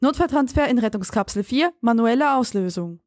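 Sci-fi audio drama commander, played by a woman: resolute, irritable female, high-pitched, clipped delivery, dry studio sound. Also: expressive, fairly quick, dry recording, natural voice. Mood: urgent, commanding. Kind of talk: scripted German audio drama acting.

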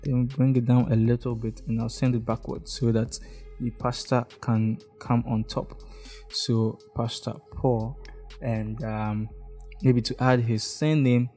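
A man's voice: medium pitch, unhurried, soft background music. A young man from Ghana with a Ghanaian English accent, talking step by step through a screen-recorded design tutorial and often checking in with "okay?". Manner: relaxed, step-by-step, frequent "okay?" check-ins. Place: indoor room, face in webcam corner.

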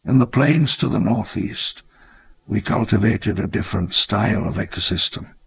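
An actor playing a wistful elderly park founder, narrating his memoir in measured, reflective storytelling. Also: lowish voice, vocal fry, slight background noise. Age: older. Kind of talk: scripted memoir narration in a game.

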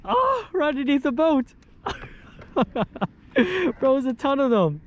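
A young man hosting a fishing vlog from a boat on a lake, excitable and chatty, often shouting when a fish bites. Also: extremely high pitch, unhurried, dull audio. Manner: excitable, shouts on catches, says dude and bro a lot. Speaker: fishing channel host. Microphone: action camera worn on head.

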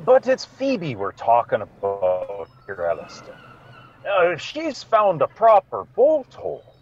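A doubtful radio-mystery inspector played by a man, hesitant and very slow. The pitch swoops wildly. The sound is dull, like a lo-fi video call. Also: wildly swooping pitch, darkish tone, soft background music, heavily acted.

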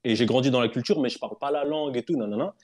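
A man tells a personal story as a guest on a casual show. He talks quickly at an ordinary pitch, relaxed and rambling with fillers.

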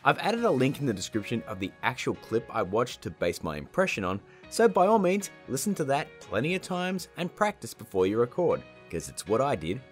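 A man's voice: medium pitch, wildly swooping pitch, brisk, hardly any pauses, soft background music. A man in his thirties hosting a casual video tutorial, playful, chatty and informal in his delivery. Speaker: tutorial host.

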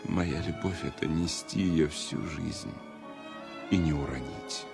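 An actor playing a romantic, reserved engineer in a radio drama, polite and a little hesitant. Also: male voice, extremely low pitch, a little slow, gravelly, over music, heavily acted. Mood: tender. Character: romantic, reserved male safety engineer in love. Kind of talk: Soviet radio drama acting.

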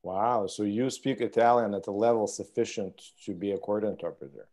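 Low mellow-voiced host with a foreign accent. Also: male voice, fairly quick. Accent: Slavic, Cyrillic-script first language. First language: language written in Cyrillic. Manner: warm encouraging interjections, frequent fillers. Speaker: podcast host. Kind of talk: interview questions on a video call. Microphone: video call.

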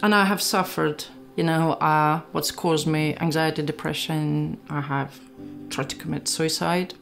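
Gravelly-voiced Latvian woman giving testimony. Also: low voice, fairly even intonation, a little slow, fairly bright tone. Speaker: church member giving testimony. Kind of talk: personal testimony. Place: small living room. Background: background music.

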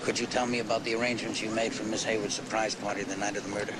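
Rumpled, curious police lieutenant male actor, audio drama. Slightly low, hesitant, courteous but never lets go; heavy outdoor noise. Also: monotone, very fast, natural voice. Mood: politely probing. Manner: hesitant, unassuming, politely persistent questioning. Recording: loud street and vehicle noise behind.

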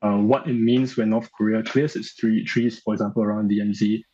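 A man in his thirties giving analysis in a news interview, speaking haltingly and repeating phrases as he searches for words. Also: lowish voice, fairly even intonation, mellow, slightly rough. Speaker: analyst guest. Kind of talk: live news interview commentary. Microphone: video call. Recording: slightly dull video-call audio.

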